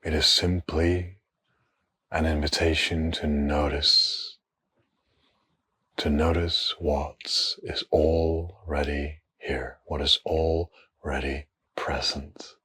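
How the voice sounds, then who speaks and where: A man guides a meditation in an exceptionally deep voice with a breathy, husky grain. His intonation stays very even and his delivery is slow and hushed. He sets out short phrases and leaves long pauses between them.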